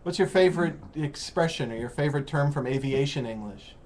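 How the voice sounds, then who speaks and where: A man with an American accent hosting a casual interview, explaining in a halting way and rephrasing as he goes. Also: on the high side, darkish tone. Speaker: host of an English-learning call-in program. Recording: noticeable background noise.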